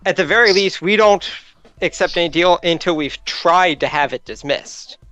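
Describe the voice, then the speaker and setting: A man's voice: sits high, normal speed, pushed and bright, dry recording. A man playing a tabletop game, casually reasoning aloud in an analytical way as he discusses it. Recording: dull lo-fi mic, music behind.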